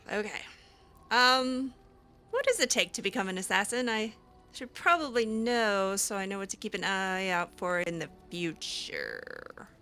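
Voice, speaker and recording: A woman's voice: medium pitch, expressive, unhurried, bright, forceful tone. A woman hosting a game roleplay, talking back to characters with sarcastic, hesitant remarks and laughs. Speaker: roleplay game player and channel host.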